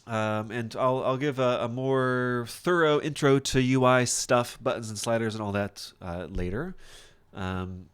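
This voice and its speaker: A man teaching software in a casual screen-share tutorial, thinking aloud informally as he demonstrates each step. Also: medium pitch, measured pace, hardly any pauses, clean tone. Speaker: software instructor.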